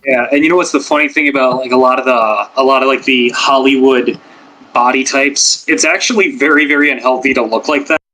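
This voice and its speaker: A man's voice: medium pitch, steady tone, brisk, pushed and bright, rough recording. A man on a podcast panel about ASMR, chatting casually with lots of fillers and rambling asides. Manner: rambling, filler-heavy, many "like"s. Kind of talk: casual chat.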